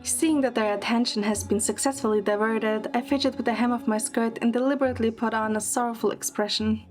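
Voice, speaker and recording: A woman's voice: a little high, fairly even intonation, brisk, soft background music. A woman performing scripted first-person narration and lead dialogue in an audio drama.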